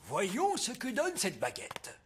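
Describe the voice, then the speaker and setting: A man voicing a wise old elf who runs a workshop in a French cartoon dub, deliberate and authoritative. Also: high voice, wildly swooping pitch, a little hiss or hum, exaggerated voice. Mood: explaining. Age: older.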